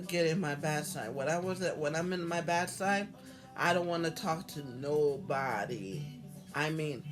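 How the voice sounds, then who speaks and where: A hoarse woman vlogging about her own life in a halting, earnest way, repeating herself and restarting often. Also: fairly low pitch. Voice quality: halting, repetitive, with many restarts. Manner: earnest, heartfelt. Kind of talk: personal talk to camera.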